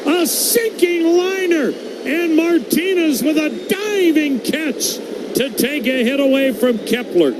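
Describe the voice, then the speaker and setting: American guy doing baseball radio play by play, high voice, takes his time, loud noise behind him. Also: takes it slowly. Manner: clipped phrases, rising excitement on plays. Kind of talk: live radio sports commentary.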